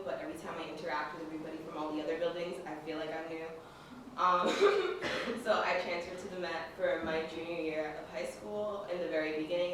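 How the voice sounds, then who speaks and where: A young woman from the Dominican Republic sharing her story in a casual talk, relaxed and full of fillers. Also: on the low side, slight background noise. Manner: relaxed, with many fillers and small laughs. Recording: echoey room, from far off.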